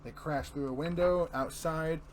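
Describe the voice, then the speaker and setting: A man's voice: fairly high pitch, steady tone, a little slow, a little hiss or hum. A man hosting a show, narrating and chatting casually about what he reads. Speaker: host and reader. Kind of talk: casual narration and review chat.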